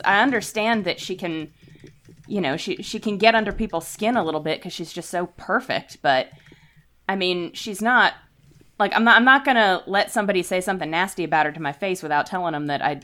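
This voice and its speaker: A young woman playing in a tabletop game, answering in character with rambling "I mean" restarts and giggles. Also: mid-range voice. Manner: giggly and animated. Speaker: player.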